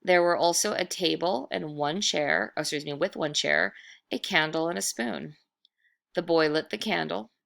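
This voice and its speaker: A woman reading a children's story aloud with expressive, dramatic narration, stretching words for effect. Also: low voice, moderate pace, vocal fry.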